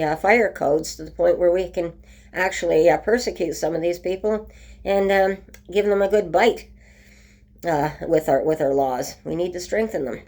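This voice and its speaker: Low-voiced woman with a rough cold. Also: darkish tone. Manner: chatty, many ums and restarts. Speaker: vlogger. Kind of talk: casual home video update. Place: at home by a window.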